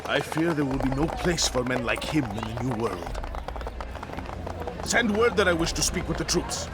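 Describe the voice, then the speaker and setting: An actor playing a proud, scheming medieval regent in a video game, formal and haughty. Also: male voice, mid-range voice, sing-song, moderate pace, very noisy, close to an everyday voice. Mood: imperious. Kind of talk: dramatic video game acting. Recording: game dialogue with music and outdoor ambience.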